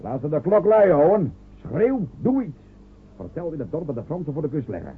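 A man's voice: a little high, sing-song, a little fast, husky, full character voice. An actor playing a proud, pompous man who barks orders at a frightened helper in a radio drama. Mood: commanding. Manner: commanding, pompous, barking orders. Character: proud blustering coastal man giving orders. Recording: tinny lo-fi old radio recording.

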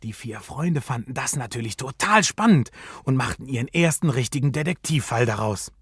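Male storyteller, kids' radio play, highly varied melody, slightly husky, dry studio sound. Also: lively intonation, moderate pace, no room sound. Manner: storytelling, suspenseful, dramatic emphasis. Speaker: narrator. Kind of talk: scripted children's radio play narration.

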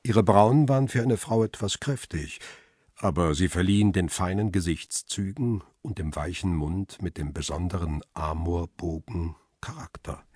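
An actor playing a world-weary paparazzo who narrates his own crime story in a radio drama, wry and understated, with a husky voice. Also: low-pitched, moderate pace, darkish tone, no echo, studio quality. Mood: reflective. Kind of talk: first-person radio drama narration with dialogue.

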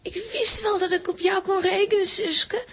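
A woman playing an excitable, headstrong young girl in a children's audio drama, eager and emotional. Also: very high voice, normal speed. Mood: anxious, pleading.

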